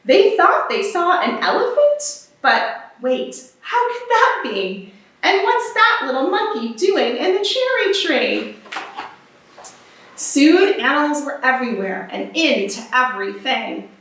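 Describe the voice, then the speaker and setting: A woman reading a picture book aloud for children in an expressive, animated storytelling manner. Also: sits high, slow. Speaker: storybook reader. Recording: very echoey room, distant microphone.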